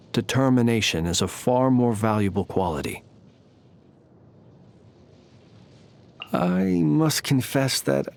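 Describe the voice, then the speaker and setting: A man voicing a courtly, humble lamp-bearing knight in a video game, speaking in formal, eloquent, old-fashioned phrases at a measured pace. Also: lowish voice, creaky. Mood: reflective, courteous. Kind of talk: scripted game character voice lines.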